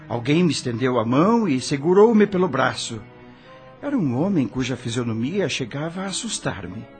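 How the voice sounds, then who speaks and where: A man's voice: mid-range voice, a little mellow, close to an everyday voice. An actor playing an anguished dead male rock star, narrating his own story in a theatrical, brooding radio drama style. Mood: uneasy.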